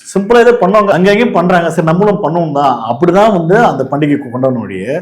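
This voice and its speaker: Fast-talking Tamil Nadu man, argumentative explainer. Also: on the high side, animated. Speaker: political commentary host. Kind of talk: casual opinionated political discussion.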